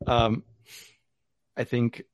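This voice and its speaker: A man's voice: mid-range voice, monotone, very slow, no room sound. A man hosting a podcast, chatting casually over whiskey in a rambling way with plenty of fillers. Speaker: podcast host. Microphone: remote call.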